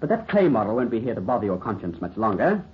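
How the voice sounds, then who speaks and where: An actor playing a suave, bored, cruelly scheming gentleman sculptor with a smooth, mocking, cultivated manner, in 1930s radio drama style. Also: on the low side, very animated, quick pace. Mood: amused, mocking. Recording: muffled, hissy 1930s radio recording.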